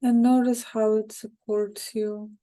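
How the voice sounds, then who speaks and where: A woman guiding a hypnosis meditation in a calm, unhurried, soothing way, with short phrases and pauses. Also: a little high, low-quality recording. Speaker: hypnosis guide.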